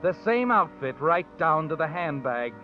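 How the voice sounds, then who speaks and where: An ominous, all-knowing narrator of a 1940s radio suspense show. His fairly high voice taunts with sardonic relish over faint music, in a dull vintage broadcast recording. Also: sing-song, normal speed. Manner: knowing, ominous second-person address.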